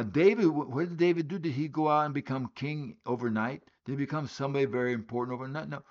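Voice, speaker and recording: A man's voice: mid-range voice, highs cut off. A man from West Africa, born in Liberia and raised in Guinea, preaching a sermon, telling a story earnestly and asking rhetorical questions.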